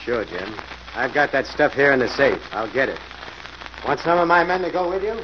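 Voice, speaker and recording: Actor as earnest police inspector, noisy recording. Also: male voice, medium pitch, animated, normal speed, natural voice. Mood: businesslike. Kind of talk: 1940s radio acting. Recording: muffled, noisy old radio recording.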